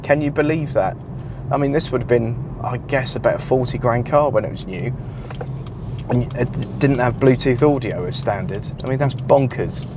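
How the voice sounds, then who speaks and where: British man, 40s, nasal croaky cold voice, in a moving car, loud road noise. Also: medium pitch, normal speed. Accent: British English. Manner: chatty, wry, grumbling about small gripes. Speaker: car reviewer. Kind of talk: casual car review vlog. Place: driving a car on suburban roads. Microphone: clip-on lapel mic.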